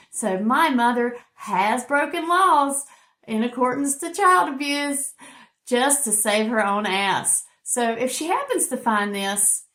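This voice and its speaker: A woman's voice: on the high side, a bit bright. Woman in an echoey room. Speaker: channel host. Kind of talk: personal vlog introduction.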